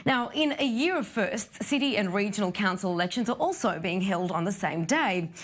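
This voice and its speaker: A woman's voice: mid-range voice, expressive, normal speed. A woman news anchor reading a formal, scripted studio introduction.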